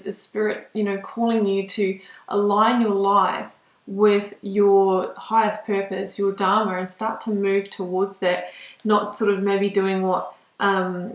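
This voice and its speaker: A woman from New Zealand with a mixed New Zealand accent carrying British and Australian traces, giving a soft, reflective tarot reading to camera from a small home studio, with frequent ums. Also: medium pitch, roomy sound, poor audio. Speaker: tarot reader.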